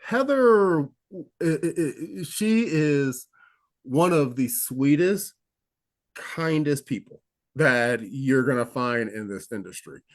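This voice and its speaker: Brief: male actor interviewee, mid-pitch, eager storyteller, lots of restarts. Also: expressive, takes it slowly. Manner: enthusiastic, rambling with restarts and fillers. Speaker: actor guest. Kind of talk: interview recollection.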